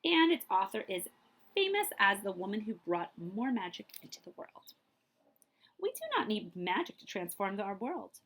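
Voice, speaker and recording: A woman reading a children's picture book aloud in an expressive, emphatic storytelling manner, like a librarian at story time. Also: medium pitch, normal speed, low-quality recording.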